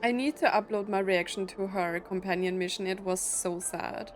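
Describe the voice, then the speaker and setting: A woman's voice: mid-range voice, moderate pace, soft background music. A woman gaming streamer making casual reactions as she plays.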